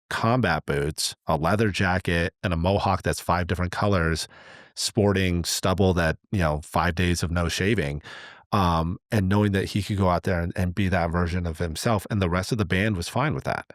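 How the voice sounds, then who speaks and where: A middle-aged man in casual, reflective podcast conversation, earnest and a little halting as he thinks aloud. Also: low voice, dry recording, studio quality. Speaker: podcast host.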